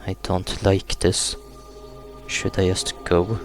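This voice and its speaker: Low-voiced man reading slowly, flat and subdued. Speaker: gaming YouTuber reading a visual novel aloud. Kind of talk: reading horror game text aloud, narration and dialogue. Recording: music behind the voice. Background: game music.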